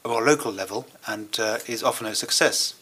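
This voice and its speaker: A man interpreting a German speech into English at a conference, with measured, hesitant phrasing and pauses. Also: fairly low pitch, bright, forceful tone, slightly rough.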